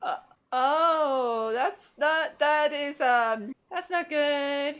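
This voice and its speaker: A woman's voice: high voice, drawn-out words, a bit bright. A woman gaming streamer giving casual, wry, joking commentary while she plays. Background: game music.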